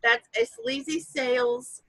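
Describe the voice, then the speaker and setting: A woman's voice: sits high, steady tone, takes it slowly, fairly bright tone, dry recording, low-quality recording. A woman guest explaining her ideas emphatically in a casual interview, with examples. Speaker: guest course creator.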